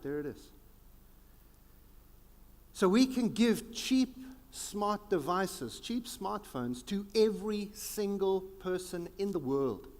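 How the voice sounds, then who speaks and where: South African male keynote speaker, emphatic and theatrical, slightly high voice, large reverberant hall. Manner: dramatic pauses, sweeping emphatic delivery. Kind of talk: conference keynote talk.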